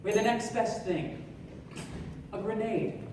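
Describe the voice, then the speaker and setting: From far off in a very echoey, noisy hall comes a hearty American army sergeant acted by a man. He tells his war story in a theatrical, projected voice, pitched a little high, as in a live radio play. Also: measured pace. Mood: storytelling. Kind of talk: live stage radio drama, dramatic narration and dialogue. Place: live on stage in an opera house.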